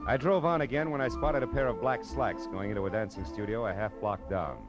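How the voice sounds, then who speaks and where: A fairly low-voiced American man acting as a hardboiled private eye. His delivery is wry and clipped, in classic 1940s radio noir style. Music plays clearly behind him on the vintage recording. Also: fairly even intonation, a little fast, natural voice. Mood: wry narration. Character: wry, hardboiled male private detective in Los Angeles. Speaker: lead actor and narrator in a radio detective drama.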